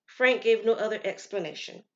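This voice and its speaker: A woman narrating a scripted story as storyteller, in a measured, expressive way. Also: medium pitch. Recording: slightly muffled, lo-fi.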